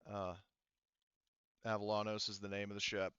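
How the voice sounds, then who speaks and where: A man running a tabletop roleplaying game as game master, explaining details casually in a halting way. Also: lowish voice, completely flat delivery, pushed and bright, smooth, no echo, lo-fi sound.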